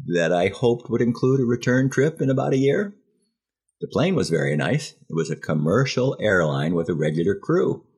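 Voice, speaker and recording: A man's voice: deep voice, darkish tone, husky. An American man in his seventies telling a first-person memoir as a podcast host, in a wry, self-deprecating way that acts out bits of dialogue. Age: 70s.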